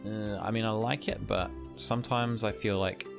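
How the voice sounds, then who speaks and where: Male streamer: laid-back, low-pitched, wry casual commentary over clear game music. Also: fairly even intonation, moderate pace.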